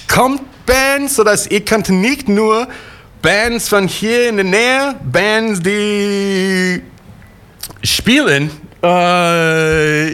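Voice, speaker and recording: A radio host with an English accent chats in slow, halting German, hesitating often but clearly enthusiastic. His voice sits high for a man, and he takes his time with each phrase. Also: fairly bright tone. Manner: rambling, enthusiastic, non-native German grammar with many hesitations. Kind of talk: casual radio show sign-off.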